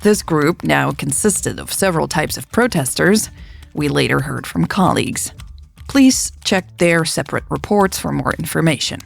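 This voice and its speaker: Very creaky, formal woman police officer acted. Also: sits low, expressive, normal speed, soft background music, full character voice. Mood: matter-of-fact. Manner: formal, factual, detached. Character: formal police officer giving an incident report. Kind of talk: scripted narration of a report.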